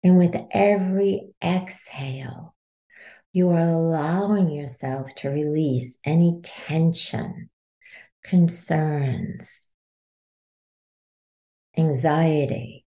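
A woman leading a guided meditation as a podcast host, giving soothing, unhurried instructions. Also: sits low, warm tone.

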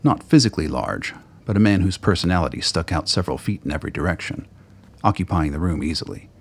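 Very deep husky male narrator. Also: lively intonation, quick pace. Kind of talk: scripted audio drama narration.

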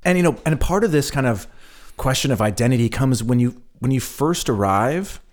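A man's voice: mid-range voice, animated, moderate pace. An American man co-hosting a podcast, chatting thoughtfully and stammering as he starts his sentences. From United States. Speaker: podcast co-host. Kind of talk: casual chat.

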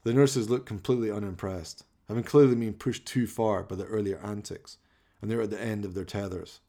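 A man with a gravelly voice narrating a dramatic scripted radio play. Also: on the low side, steady tone, brisk, mellow.

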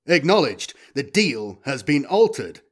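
A man's voice: medium pitch, sing-song, a little slow. A man voicing a cold, logical war robot in an audiobook, with clipped, formal machine phrasing. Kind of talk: audiobook character voice.

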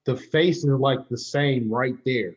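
Husky man chatting casually. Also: medium pitch, unhurried, lo-fi sound. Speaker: podcast cast member. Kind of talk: casual chat.

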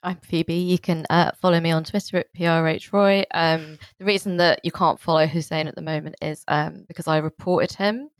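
A woman co-hosting a podcast, sick with a cold and croaky, joking in a dry, deadpan way. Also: low-pitched, moderate pace, hardly any pauses, no echo. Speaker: podcast co-host. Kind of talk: casual chat.

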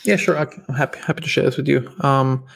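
A man's voice: medium pitch, steady tone, fast, gravelly, fairly bright tone, breathy edge. A man with a German accent, a guest on a podcast, telling stories casually with lots of fillers and restarts. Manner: talkative, many fillers and restarts. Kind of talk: casual interview storytelling.

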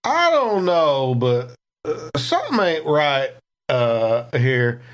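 A man voicing a grumbling, uneasy male crew hand on a space salvage ship, with a hesitant, halting drawl. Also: a little high, sing-song.

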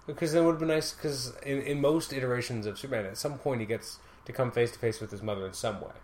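A man chatting casually about a film, quick and conversational. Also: mid-range voice, darkish tone. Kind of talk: casual chat. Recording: echoey room with faint noise.

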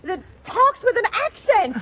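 A prim, indignant mother in an old radio comedy. Her voice is very high and swoops with fussy, scolding emphasis, on a dull recording with faint noise. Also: very animated, measured pace, heavily acted.

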